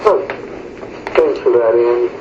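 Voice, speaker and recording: A man's voice: mid-range voice, slow pace, a little mellow. Man chairing over a narrow phone line. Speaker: meeting chair. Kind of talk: work meeting discussion on a conference call. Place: telephone conference call. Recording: muffled phone conference line, echo, faint hiss.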